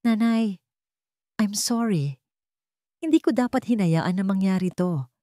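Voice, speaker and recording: A woman's voice: medium pitch, lively intonation, measured pace, warm tone, no room sound, studio quality, voice pushed up, close to an everyday voice. A woman voicing a stern, wealthy male company chairman with a cold, authoritative manner in a narrated drama. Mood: solemn, moved.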